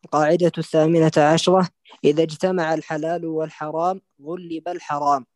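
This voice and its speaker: Man reading rules in a flat recitation. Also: fairly high pitch, brisk, dry recording. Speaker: student reading the rule texts. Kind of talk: reading a text aloud.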